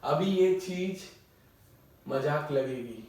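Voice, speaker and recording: A man's voice: a little high, lively intonation, very slow, a little mellow. A man teaching a maths lesson, explaining patiently with everyday examples and repeating the key words. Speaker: maths tutor. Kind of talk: classroom lecture. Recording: echoey room, mic far off.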